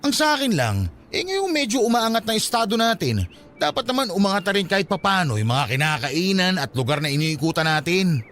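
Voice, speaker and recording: A man's voice: high-pitched, sing-song, fairly quick, a bit bright. A man playing a boastful, dismissive young Filipino husband and new father, insistent and argumentative, in a radio drama. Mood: irritated, dismissive.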